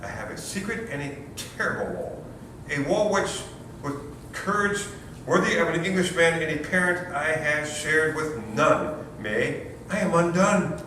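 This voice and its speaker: Casting: male actor as self-pitying, pompous young father for stage farce, slightly high voice; very echoey recording. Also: unhurried, sharp tone. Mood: woeful, dramatic. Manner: pompous, theatrically florid. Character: anxious new father, indignant, melodramatic, self-pitying Englishman. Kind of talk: stage comedy readers theater. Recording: roomy, distant, noisy hall recording with rumble.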